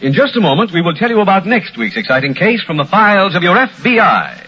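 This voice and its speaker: A man's voice: high voice, very animated, fast. A man announcing on a vintage radio show in a polished, formal, scripted sales style. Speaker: radio announcer and sponsor spokesman. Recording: muffled old radio broadcast with music.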